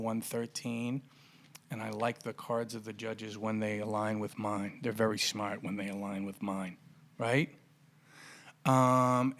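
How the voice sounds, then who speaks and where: Gravelly-voiced man talking boxing. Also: mid-range voice, fairly bright tone. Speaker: boxing podcast host. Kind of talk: casual sports commentary.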